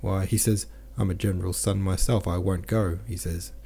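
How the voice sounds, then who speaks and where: A man reading the lines of a bold, cheeky male peasant scout, in a hurried, brash way. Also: deep voice, fairly even intonation, gravelly, mellow, slight background noise. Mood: cocky.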